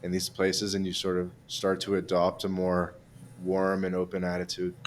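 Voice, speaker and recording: A young male host speaks in a fairly low voice, easygoing and friendly. He chats casually and leans on relaxed filler words. A faint background hiss runs under his voice. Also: normal speed. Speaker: podcast host. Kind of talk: casual interview chat.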